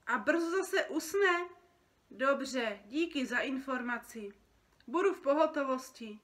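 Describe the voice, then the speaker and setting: A woman's voice: sits high, a bit bright, pitched above the performer's own voice. A woman voicing an exasperated, bossy feathered office worker on the phone in a storybook. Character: busy, irate feathered office workers ordering dreams by phone. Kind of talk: storybook character reading.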